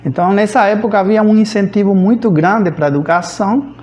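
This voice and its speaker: A man's voice: high-pitched, a little fast, a little mellow. A man of about fifty from rural Cuba with a Cuban accent, a native Spanish speaker, telling his life story informally and hesitantly, searching for words. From rural central Cuba. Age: around 50. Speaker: physics professor. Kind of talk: informal autobiographical account.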